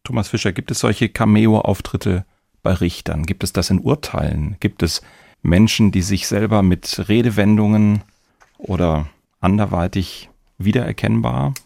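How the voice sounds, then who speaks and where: Male podcast host, low register with fry, conversational interviewer. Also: unhurried, mellow, no echo, studio quality.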